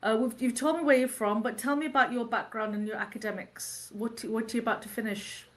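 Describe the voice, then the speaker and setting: A woman's voice: a little high, steady tone, a little fast. A woman hosting an interview webinar, chatty and upbeat as she prompts her guest with questions. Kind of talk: casual interview.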